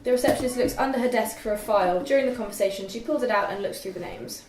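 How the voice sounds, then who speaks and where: A woman's voice: medium pitch, fast, a little mellow, distant mic. Young woman narrator for script read-through, scripted delivery, echoey room, faint background noise. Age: young adult. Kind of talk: scripted narration, reading screenplay directions aloud. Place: room at a group table read.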